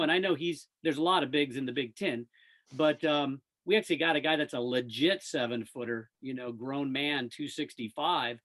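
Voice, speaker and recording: Man, slightly high voice, casual sports chat, enthusiastic, animated, interview guest. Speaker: radio play-by-play sports announcer, interview guest.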